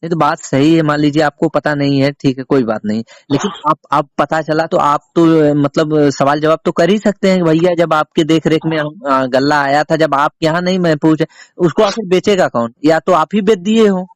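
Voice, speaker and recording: Male reporter, slightly high voice, dry close sound, insistent repeated questioning, informal phone interview. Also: moderate pace, dry recording. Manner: insistent, repetitive, emphatic questioning. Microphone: recorded phone call.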